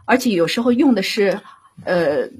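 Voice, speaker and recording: Female director as panel guest. Strongly husky, expressive intonation, conversational explaining with frequent checking questions. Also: medium pitch, normal speed. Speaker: radio drama director and guest.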